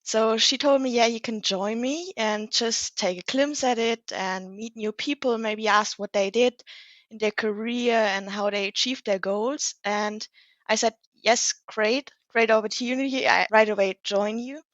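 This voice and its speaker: A woman's voice: a little high, a little slow, fairly bright tone, no room sound, highs cut off. A young woman in her late teens whose first language is German, a podcast guest speaking English in a modest, slightly hesitant way. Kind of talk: casual conversational interview.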